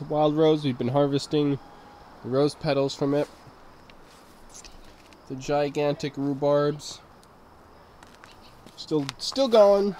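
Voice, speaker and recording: A young man with a stuffed-up voice from a cold, giving a relaxed, rambling tour of his backyard garden on a handheld camera. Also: mid-range voice, slight background noise. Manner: relaxed, rambling, easily amazed. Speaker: gardening channel host. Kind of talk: casual garden tour vlog. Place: backyard garden outdoors at dusk. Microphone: handheld camera mic, filming himself walking.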